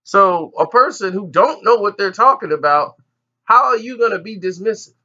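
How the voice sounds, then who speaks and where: A man's voice: high voice, expressive, moderate pace, bright, forceful tone, lo-fi sound. A man giving indignant, emphatic commentary on an interview clip. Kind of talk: reaction commentary.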